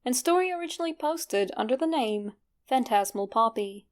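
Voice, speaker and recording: A woman's voice: on the high side, animated, normal speed. A woman narrating a scripted first-person story in a conversational way, with wryly humorous asides.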